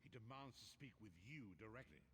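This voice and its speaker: An actor playing a wise, solemn prophet in a video game, speaking in a measured, formal, eloquent way. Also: male voice, medium pitch, a bit bright, a little hiss or hum, close to an everyday voice. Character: wise, solemn mystic prophet, a male mentor. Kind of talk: video game character voice acting. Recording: game audio with faint background ambience.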